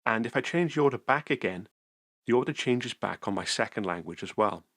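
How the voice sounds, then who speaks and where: A man calmly narrating a software tutorial screencast, explaining each step methodically. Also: mid-range voice, a little fast, no room sound, studio quality.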